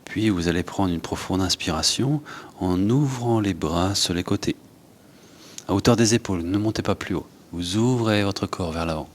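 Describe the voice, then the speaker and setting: Guy w deep voice leading yoga breathing, slow short cues w pauses, bit of rumbly noise behind. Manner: unhurried, instructing in short phrases with pauses. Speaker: yoga teacher. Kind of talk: guided yoga and breathing instruction.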